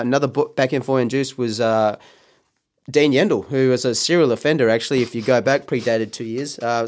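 A man co-hosting a crude, joking podcast about sport and racing. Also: medium pitch. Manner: swearing, joking, laughing often. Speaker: podcast co-host. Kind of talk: crude casual banter.

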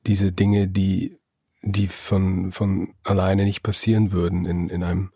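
A man's voice: low voice, little pitch movement, measured pace, warm tone, breathy edge, dry recording, studio quality. A man, a novelist answering interview questions thoughtfully, often restarting his words. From Germany. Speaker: novelist guest. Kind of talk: reflective interview talk.

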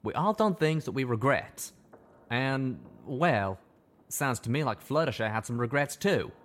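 A man voicing a young male pony who speaks in a rough folksy way, strained with guilt. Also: mid-range voice, animated, a little slow, fairly bright tone, pitched above the performer's own voice. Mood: earnest. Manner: folksy drawl, strained and emotional.

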